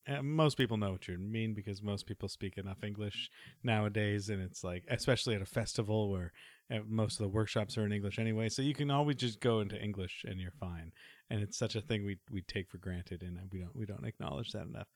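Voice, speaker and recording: A man's voice: fairly low pitch, quick pace, no echo, clean studio sound. A man hosting a podcast, chatting casually in a rambling, thoughtful way full of fillers. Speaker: podcast host.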